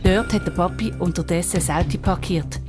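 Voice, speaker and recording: A woman's voice: fairly low pitch, a little fast, music in the background. A woman narrating a scripted children's story in Swiss German as the storyteller.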